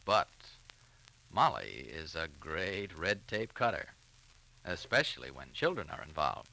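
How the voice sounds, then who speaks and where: A man with an American accent announcing and pitching in 1940s radio style, emphatic and chummy. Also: very animated, a little slow, vocal fry, pushed and bright, low-quality recording. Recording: vintage 1940s radio recording.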